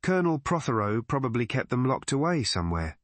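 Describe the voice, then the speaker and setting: A man's voice: medium pitch, sing-song, no echo, natural voice. Mild, courteous village vicar read by a man. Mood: helpful, calm. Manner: courteous, measured.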